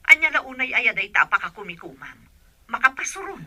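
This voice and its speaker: A woman's voice: a little high, very animated, brisk, bright, forceful tone, exaggerated voice. An actress playing a touchy, resentful partner of a businessman in an Ilocano radio drama. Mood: annoyed.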